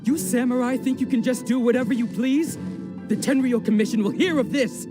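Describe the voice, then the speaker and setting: A man's voice: extremely high pitch, a little fast. A man playing an aggrieved, indignant village man in a video game, accusing and resentful. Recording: music under the voice. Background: game music.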